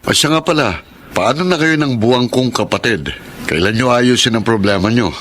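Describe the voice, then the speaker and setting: A man's voice: medium pitch, expressive, normal speed, a bit bright, close to an everyday voice. An actor playing a breezy, carousing man in a Filipino radio drama, talking in a casual, cocky way. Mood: breezy, coaxing. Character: breezy carousing brother-in-law, protective of his sister. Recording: noisy radio drama track.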